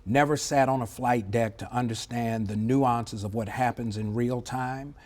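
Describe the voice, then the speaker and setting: A middle-aged man speaking as an expert interview guest, explaining in a measured, emphatic way and often checking in with a short tag question. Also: mid-range voice, steady tone, darkish tone. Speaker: former air traffic controller, interview guest. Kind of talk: live TV news interview, explanatory commentary.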